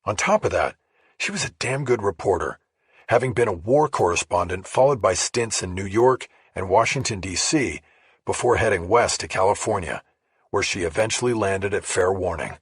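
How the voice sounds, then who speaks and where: A man's voice: low voice, slightly rough, no echo, studio quality. A man reading a first-person crime thriller audiobook as scripted narration.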